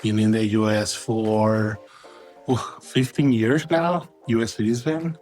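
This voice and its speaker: A man from Venezuela, a guest on an interview podcast, talking in a relaxed, rambling way and often tagging his sentences with "right?". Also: fairly low pitch, unhurried, soft background music. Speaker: guest, coffee roaster and content creator. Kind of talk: casual interview talk.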